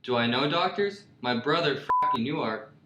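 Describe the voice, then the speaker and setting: A swaggering, defensive tough-guy husband, acted by a man in a radio play spoof. His mid-range voice is emphatic and repetitive, in an echoey room with loud noise. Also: medium pitch, expressive, moderate pace, lo-fi sound, close to an everyday voice. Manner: repetitive, emphatic, answers with questions. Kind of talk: satirical radio play acting.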